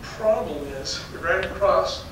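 A man's voice: sits high, measured pace, vocal fry. Older man lecturing in an echoey hall. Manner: folksy, anecdotal, chuckles between stories. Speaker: geology professor giving a public lecture. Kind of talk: storytelling slide talk. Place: darkened lecture hall with audience. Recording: very echoey hall, distant mic, faint noise.

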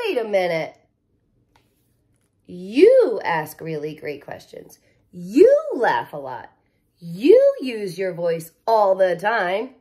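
A woman in her thirties reading a children's picture book aloud like a teacher, expressive, with chatty asides straight to young listeners. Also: medium pitch. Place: living room at home, indoors.

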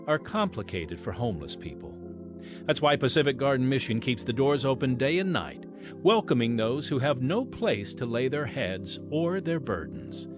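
An American man hosting a radio drama with scripted, earnest narration. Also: mid-range voice. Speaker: host and announcer.

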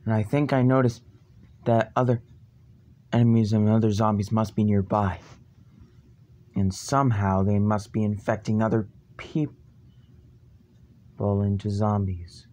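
A man plays a determined young hero in a fan-made zombie action story. The voice is fairly low and very slow. The lines come in halting, dramatic chunks, as a vow to fight evil. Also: fairly even intonation, darkish tone, natural voice. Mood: grave, wary. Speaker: amateur voice actor.

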